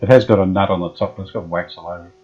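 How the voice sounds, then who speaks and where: A man's voice: low voice, very fast, faint music behind. A man restoring an old radio, thinking aloud as he talks through each step. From Australia. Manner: relaxed, thinking aloud with frequent fillers. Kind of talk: hands-on workshop narration. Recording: dull lo-fi workshop audio.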